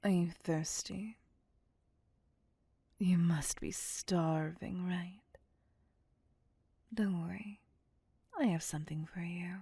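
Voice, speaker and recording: A woman voicing a possessive, vain vampire mistress in an ASMR roleplay, breathy and often whispering, with condescending endearments. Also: lowish voice, fairly even intonation, mellow, no room sound, heavily acted. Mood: possessive, coaxing. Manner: slow, deliberate, condescending endearments. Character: possessive, vain female vampire, commanding and seductive. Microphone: close, dry microphone.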